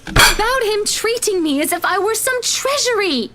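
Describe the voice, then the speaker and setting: A woman voicing a haughty, bitter, wealthy married lady in a visual novel, sharp and theatrical, with condescending endearments. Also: very high voice, animated, normal speed, very noisy, pitched above the performer's own voice. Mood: frustrated. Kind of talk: visual novel character dialogue.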